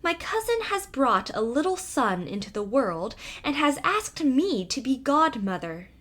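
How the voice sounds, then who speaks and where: A sly, greedy she-cat from a fairy tale, as a woman narrator puts her on. She talks slowly with lively swoops, smug and coolly dismissive. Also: high voice, voice pushed up, exaggerated voice. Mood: coaxing.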